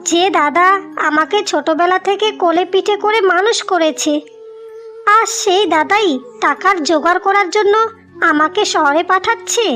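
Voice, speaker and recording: A meek, sorrowful young village orphan voiced by a woman with a very high voice. She pleads plaintively in cartoon style, with music underneath. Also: a bit bright. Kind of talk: dramatic cartoon voice acting.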